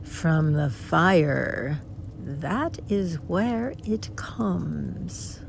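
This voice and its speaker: Female guardian spirit played by a woman, horror audio drama. Slow, grave, foreboding. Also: deep voice, expressive, vocal fry, a little hiss or hum, heavily acted. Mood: ominous. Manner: slow, reproachful warnings.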